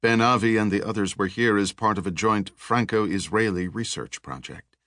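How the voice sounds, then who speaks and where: A man reading scripted thriller narration in a measured, deliberate storytelling manner. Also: lowish voice, fairly bright tone, no room sound, clean studio sound. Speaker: audiobook narrator.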